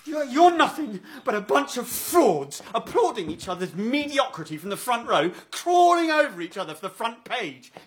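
An actor playing a bitter, jealous, fragile young man with a husky voice in a stage drama. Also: mid-range voice, animated, normal speed, long pauses, fairly bright tone, full character voice. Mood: furious. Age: young adult.

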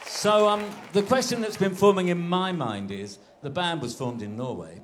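Enthusiastic male festival host, animated pitch, faint crowd. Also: normal speed, a little hiss or hum. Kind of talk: onstage interview.

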